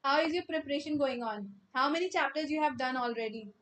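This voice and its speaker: A young woman teaching maths in a live online class, with an Indian English accent and a high, bright voice. She talks loudly and chattily, greeting students by name and mixing Hindi phrases into her English. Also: quick pace, rough recording.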